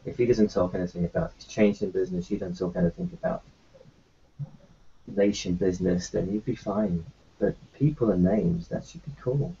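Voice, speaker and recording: A man's voice: fairly low pitch, sing-song, normal speed, creaky, mellow. A man playing his character in a tabletop roleplaying session, talking in a rambling, hesitant way with lots of restarts. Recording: muffled lo-fi with faint hiss.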